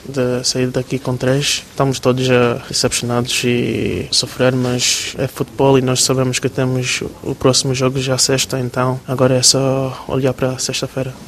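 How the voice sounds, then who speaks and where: A man from Britain, a professional footballer, giving a calm, plain-spoken post-match interview. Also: medium pitch, normal speed, few pauses, a little hiss or hum.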